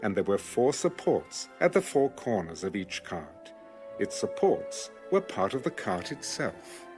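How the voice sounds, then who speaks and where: A man delivers a scripted scripture reading. His phrasing is measured and formal, yet the pitch moves expressively. Faint music plays behind him. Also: very animated. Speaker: Bible audiobook narrator.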